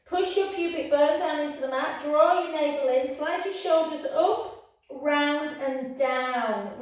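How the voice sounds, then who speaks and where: High-voiced croaky woman, echoey room. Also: far from the mic. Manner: encouraging, calls students babe and guys. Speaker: pilates instructor. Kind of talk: live class instruction.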